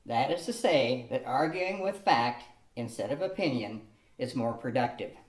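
A man's voice: mid-range voice, animated, normal speed, natural voice. An older man playing Elmer, a patient, reasoned husband, reading carefully from a script in an amateur radio play. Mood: explaining. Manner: reads carefully from a script, lecturing tone. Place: studio room with a cloth backdrop. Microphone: stand mic on a tripod. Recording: echoey room.

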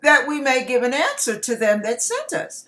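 A woman in her sixties reading scripture aloud in a deliberate, emphatic, expressive style, acting out the dialogue. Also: sits high. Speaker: online ministry host reading scripture aloud. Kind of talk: expressive, dramatic Bible reading in King James English. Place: small curtained room indoors. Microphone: webcam at desk distance.

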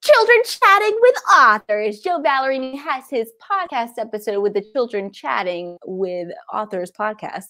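A woman's voice: fairly high pitch, animated, moderate pace, few pauses, sharp tone. A woman in her thirties hosting an online event in an upbeat style, speaking on a video call. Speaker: host of a library event. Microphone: wired earbuds on a video call.

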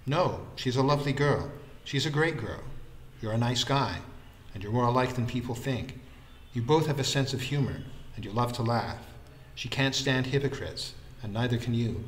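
A man playing a kind, gently encouraging male friend in audiobook dialogue, speaking sincerely. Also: medium pitch, lively intonation, moderate pace, reverberant, a little hiss or hum, natural voice. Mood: reassuring. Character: kind, reassuring male friend, the story's narrator-hero.

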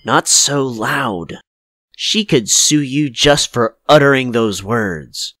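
A man's voice: mid-range voice, takes it slowly, fairly bright tone, no room sound, studio quality. A man playing a cautious, placating flower shop manager in a visual novel, obsequious and emphatic. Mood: gossipy, cautioning.

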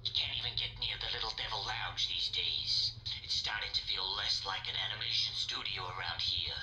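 A man's voice: lowish voice, normal speed, pushed and bright, a little hiss or hum. An actor playing a suspicious male studio worker who grumbles in a conspiratorial way. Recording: echoey room.

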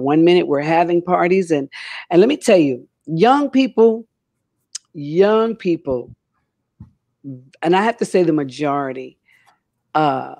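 A woman's voice: sits low, creaky, warm tone, dry recording. Older female talk show host, emphatic and repetitive in casual panel chat, dry sound. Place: home room, indoors, on a video call.

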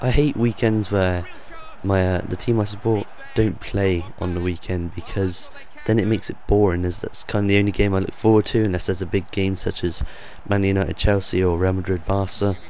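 A young man with a croaky voice hosting his gaming channel, rambling off the cuff with lots of ums and uhs over game footage. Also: sits low, fairly even intonation, normal speed, few pauses, darkish tone.

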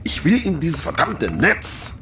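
A short-tempered alien crewmate from a sci-fi radio play. He grumbles irritably in a gravelly voice with lively intonation. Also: fairly high pitch, measured pace, faint music behind, exaggerated voice. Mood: irritated. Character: grumpy male alien hacker, short-tempered ship crewmate.

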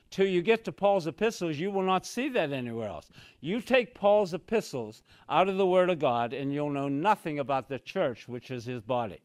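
A man's voice: a little high, no room sound. An older man giving an expository Bible lesson in a small church room, speaking from a podium mic and often stepping away from it, emphatic and repeating key phrases. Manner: emphatic, repeats "so very clearly", gestures with both hands. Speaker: Bible teacher. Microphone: podium mic, walks away from it.

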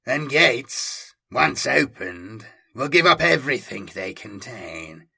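A British man voicing a cold, ruthless male Chaos Lord who speaks in a slow, measured, menacing way. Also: medium pitch, very animated, gravelly, pushed and bright, husky, full character voice.

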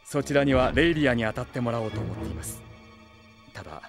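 A gentle, thoughtful sage for an anime audio drama. He speaks politely in a measured way at a medium pitch, with music clearly behind him. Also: expressive. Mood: calm, serious.

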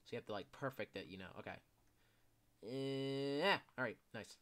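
A young man giving casual, excitable commentary while playing a video game, swearing often and yelling when chased. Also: mid-range voice, normal speed. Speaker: gameplay commentator. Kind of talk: casual live gameplay commentary.

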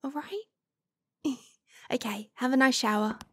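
Woman doing a doting mommy type asmr roleplay, high soft voice, unhurried, teasing n coaxing, bit whispery. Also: a little hiss or hum. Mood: caring. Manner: coaxing, playful, lapsing into breathy near-whispers. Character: doting, teasing motherly woman fussing over a stranger.

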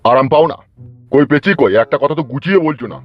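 Man as cartoon village gossip, highish pitch, fast, quarrelsome, slangy, muffled recording. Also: lively intonation. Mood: argumentative. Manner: teasing, argumentative, slangy. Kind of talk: Bangla comedy cartoon dialogue. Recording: dull lo-fi sound over music.

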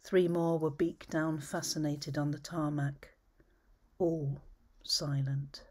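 Low, husky woman reading poems. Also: steady tone. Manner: measured, thoughtful reading with soft whispered phrase endings. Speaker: poet. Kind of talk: poetry reading. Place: home study with bookshelves, indoors.

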